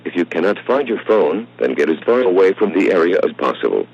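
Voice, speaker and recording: A man as a gravelly, commanding warning announcer. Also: sits low, very animated, fairly quick, darkish tone, heavily acted. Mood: urgent, stern. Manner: commanding, formal. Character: stern, commanding male emergency warning voice. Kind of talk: scripted emergency warning. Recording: dull, lo-fi radio-like warning audio.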